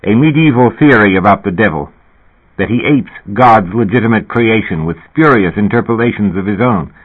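A thoughtful man lays out one probing question after another, read by a male narrator. The voice sits on the low side. It sounds thin and tinny, like a phone line. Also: animated, moderate pace. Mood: musing. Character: pondering man, philosophical questioner.

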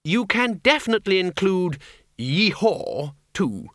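A man voicing a bossy, exasperated older wizard chief in an audiobook, blustering and gruffly sarcastic. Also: sits high, sing-song, unhurried, a bit bright, no echo, clean studio sound, pitched above the performer's own voice, full character voice. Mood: terse, irritated.

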